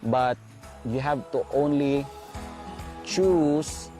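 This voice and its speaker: Slow-speaking Filipino man teaching, over music. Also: mid-range voice, mellow. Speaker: art instructor. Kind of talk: instructional video narration.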